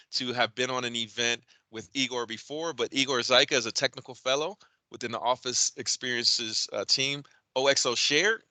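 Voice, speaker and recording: Male event host, bright voice, dry close sound, casual delivery with fillers. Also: mid-range voice, no room sound. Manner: conversational, frequent fillers and restarts. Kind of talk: virtual event introduction.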